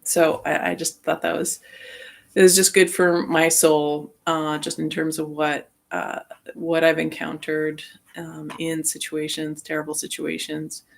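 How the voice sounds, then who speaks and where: A woman sharing rambling personal reflections as a vlogger, speaking haltingly and often trailing off mid-thought. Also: low-pitched, level tone, vocal fry.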